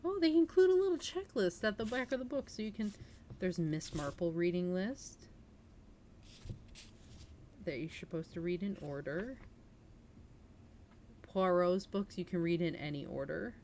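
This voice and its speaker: A woman's voice: lowish voice, measured pace, pauses often, dark tone, a little hiss or hum. A woman hosting a livestream, reading aloud in a storytelling style and chatting informally with her viewers. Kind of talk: storytelling read-aloud and casual stream chat.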